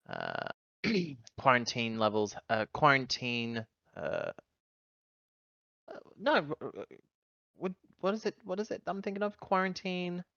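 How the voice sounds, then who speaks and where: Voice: medium pitch, a bit bright, clear, resonant tone, no echo, dull audio. A player chatting casually and speaking in character during a tabletop roleplaying game.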